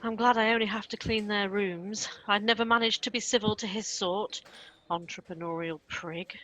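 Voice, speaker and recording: A woman playing a sharp-tongued, bossy but protective chambermaid in a comic radio play. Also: medium pitch, steady tone, normal speed, lightly acted, rough recording. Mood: wry, bossy.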